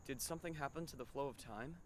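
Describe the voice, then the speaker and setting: An actor playing a puzzled high school boy in an anime fan dub, asking hesitant questions. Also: fast, slight background noise, lightly acted. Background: background music.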